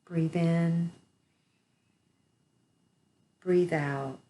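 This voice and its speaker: A woman leading a guided meditation, speaking softly and soothingly with long pauses. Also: on the low side, steady tone.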